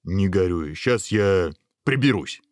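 A man voicing a huge, food-loving ogre in a storybook tale, earthy, unhurried and coaxing. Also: low-pitched, a little mellow, no echo, clean studio sound, lightly acted. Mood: coaxing, kindly.

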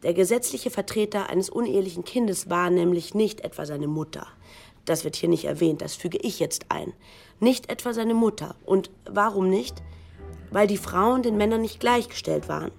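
A woman's voice: medium pitch, moderate pace, creaky, soft background music. A woman playing a wry, needling narrator in a radio documentary drama, with an ironic, pointed way of talking. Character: wry, needling female narrator commenting on constitutional debates.

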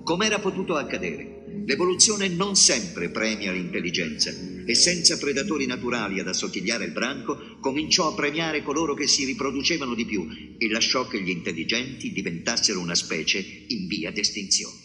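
A man's voice: medium pitch, lively intonation, a little fast. A man delivering scripted theatrical narration, recorded with long reverb. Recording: long hall reverb, music behind. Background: music.

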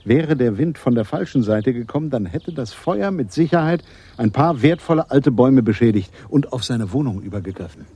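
A man's voice: medium pitch, lively intonation, moderate pace, warm tone, slightly rough. An actor in a German radio play as an opinionated police sergeant who explains his theories with sarcastic emphasis. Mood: insistent, sarcastic. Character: eager, opinionated police sergeant, man, theorizing about crime.

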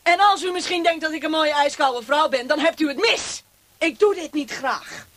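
A woman playing a bitter, menacing interrogator in a radio play, fanatically loyal and taunting in a forceful manner. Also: high-pitched, normal speed. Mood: proud, taunting.

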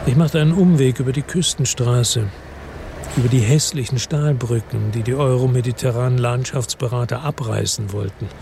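A man's voice: medium pitch, moderate pace, vocal fry, noisy background. A man narrating a crime radio drama in first person, brooding and weary, his tone turning bitter.

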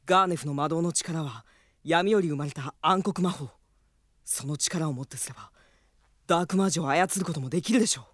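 A man playing a polite, studious young mage in a radio drama, explaining things gravely and carefully with respect. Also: a little high, fairly quick, a bit bright, no echo, clean studio sound.

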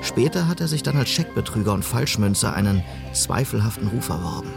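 An actor playing an earnest, idealistic policeman in a western radio drama, speaking in a measured, persistent way. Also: on the low side, big pitch swings, vocal fry, over music, heavily acted. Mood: reflective, factual. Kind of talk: radio drama acting and first-person narration.

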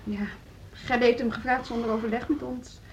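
An actress playing a weary, frustrated music teacher in an understated, naturalistic radio drama. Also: female voice, fairly high pitch, fairly even intonation, a little fast, a little hiss or hum, natural voice. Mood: concerned. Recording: dull radio drama recording, music behind.

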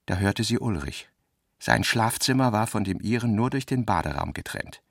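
A man's voice: on the low side, lively intonation, normal speed, vocal fry, no echo, studio quality. A man reading literary prose as the narrator of a radio drama.

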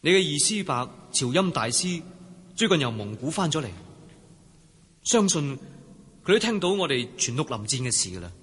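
An actor with a Cantonese accent playing a hearty, protective young swordsman chief in a radio drama, with a husky voice. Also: fairly high pitch, sing-song, normal speed, pauses often, a bit bright, exaggerated voice. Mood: cheerful. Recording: reverberant hall sound.

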